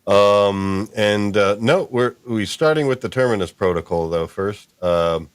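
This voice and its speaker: Low-voiced, dry-sounding male podcast host. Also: measured pace, smooth, no echo. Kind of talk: casual interview chat.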